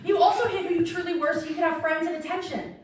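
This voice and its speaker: Well-meaning young woman at her limit, female stage reader: very high, earnest, distant mic, strong room echo. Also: fairly even intonation, quick pace, a crowd in the background. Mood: exasperated. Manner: exasperated, earnest. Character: well-meaning college girl pushed past her patience. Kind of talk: staged play reading. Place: echoey indoor room, far mic.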